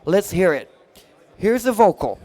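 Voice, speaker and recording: High-pitched man giving a casual demo. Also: sing-song, measured pace, mellow. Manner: jokey, chatty, slangy. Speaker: product demonstrator at a music trade show.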